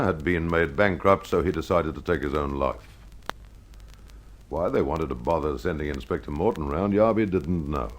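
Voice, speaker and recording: Deep-voiced man narrating a radio mystery. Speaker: narrator and host. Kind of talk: scripted old-time radio mystery narration.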